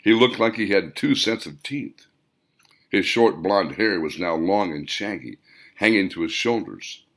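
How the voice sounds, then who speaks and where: A man reading a story aloud as dramatic, theatrical audiobook narration, with deliberate delivery. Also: deep voice, expressive, fairly bright tone.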